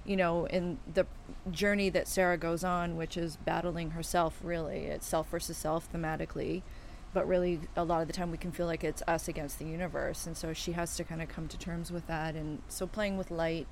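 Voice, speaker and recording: A woman from Canada, a film director, talking calmly in a casual interview and telling her story in long, winding sentences. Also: fairly low pitch, a little fast, gravelly, slight background noise. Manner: calm, reflective, talks in long winding sentences.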